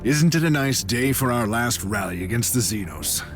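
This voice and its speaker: A man's voice: medium pitch, animated, moderate pace, natural voice. An actor playing a hearty, boastful male military commissar in a video game. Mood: confident. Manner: hearty, boastful officer bluster. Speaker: lord commissar, military officer. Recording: music clearly behind.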